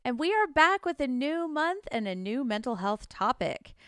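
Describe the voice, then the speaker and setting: A woman from the Deep South co-hosts a podcast in a loose, giggly chat. Her voice is smooth and clear, a little higher than most. It sounds dry and close to the mic. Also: very animated, normal speed, fairly bright tone, no echo. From American Deep South. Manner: giggly, upbeat, talks over others. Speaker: podcast co-host. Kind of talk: casual chat.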